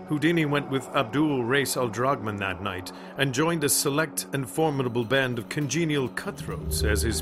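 A man narrating an eerie adventure tale as a radio drama storyteller, in measured, literary delivery. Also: medium pitch, natural voice. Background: prominent music.

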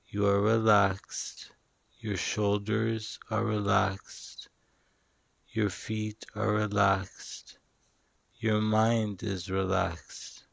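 Slow, even-toned man guiding a meditation. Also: lowish voice, vocal fry, dry recording. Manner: calm, soothing, instructional, with long pauses. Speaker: meditation guide and show host. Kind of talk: guided meditation.

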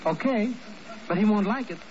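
An actor playing a cheeky, scheming hotel bellboy with a foreign accent and a wheedling, chattering way, in 1950s radio drama. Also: male voice, high voice, animated, moderate pace, darkish tone. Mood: cheeky, eager. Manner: wheedling, chattering, hesitant with hums. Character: eager, cheeky, scheming hotel bellboy, self-appointed helper. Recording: noisy, muffled old radio recording.

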